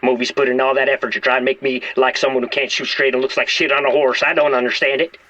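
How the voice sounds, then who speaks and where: An actor playing a volatile, foul-mouthed, aggrieved hostage-taker in an audio drama, ranting and repeating himself. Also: male voice, medium pitch, little pitch movement, fast, sharp tone, lightly acted. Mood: scornful, bitter. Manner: ranting, repetitive, profane, abruptly apologetic. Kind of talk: audio drama phone-call acting. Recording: dull, band-limited radio or phone sound.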